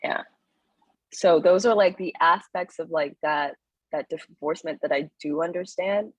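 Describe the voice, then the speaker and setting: A woman's voice: low voice, a little slow, no echo, rough recording. A young Kenyan-American woman as an interview guest, chatting in loose run-on phrases and laughing often. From Kenyan-American, raised in the US, Canada and South Africa. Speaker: guest, actor and writer. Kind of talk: casual interview chat.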